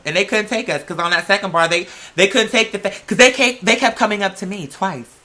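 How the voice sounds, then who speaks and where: Sassy bright-voiced man venting. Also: sits high, a little fast. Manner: sassy, confrontational, slangy, emphatic. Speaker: vlogger telling personal stories. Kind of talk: casual sassy storytelling rant.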